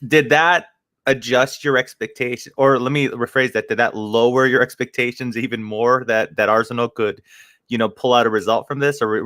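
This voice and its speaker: A man's voice: medium pitch, sharp tone, dry recording, studio quality. A man co-hosting a sports podcast, asking long, casually worded questions that he rephrases as he goes. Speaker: podcast co-host. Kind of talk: casual interview chat.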